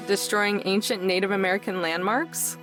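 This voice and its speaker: An actress playing a guarded young woman in a visual novel, dry and deadpan, with faint music underneath. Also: mid-range voice, normal speed, close to an everyday voice. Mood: dry teasing. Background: game music.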